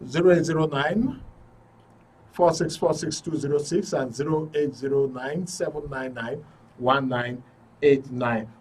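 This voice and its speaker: A man with a Nigerian accent and a husky voice, hosting a live call-in show. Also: medium pitch, unhurried, a little mellow, distant mic. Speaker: radio and TV show host.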